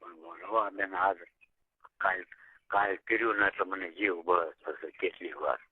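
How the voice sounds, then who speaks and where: A man's voice: sits low, fairly even intonation, a little slow, slightly rough. A man chatting casually and privately on a phone call. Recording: muffled tinny phone call recording.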